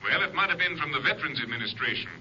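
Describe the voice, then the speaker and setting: An actor playing a businesslike Ranger captain, brisk and matter-of-fact, in a 1950s radio drama. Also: male voice, on the high side, lively intonation, pushed and bright, slight background noise. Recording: dull old broadcast.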